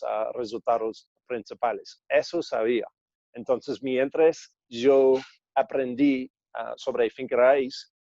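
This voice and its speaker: A man in his thirties from Australia, speaking Spanish as a second language with an accent between Australian and American, answering interview questions haltingly with many fillers. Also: mid-range voice, dark tone, no echo, muffled recording. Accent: Australian-American English speaker of Spanish. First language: English. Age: 30s. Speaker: guest, entrepreneur.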